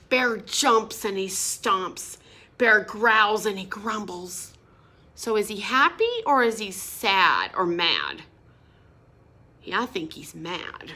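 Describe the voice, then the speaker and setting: Slow, bright-voiced woman reading aloud. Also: mid-range voice. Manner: stops to explain words and ask children questions; sing-song, expressive. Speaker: storybook reader. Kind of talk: reading a picture book aloud to children.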